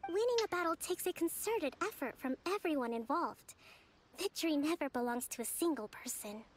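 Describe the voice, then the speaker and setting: A woman voicing a gentle, composed young female strategist in a video game, speaking in a measured, formal, thoughtful way. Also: sits high, expressive, a little hiss or hum. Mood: earnest.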